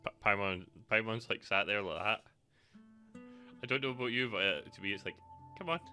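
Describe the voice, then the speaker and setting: A man's voice: lowish voice, fairly quick, bright, forceful tone, soft background music. A man streaming a video game, giving casual commentary with joking, rambling asides.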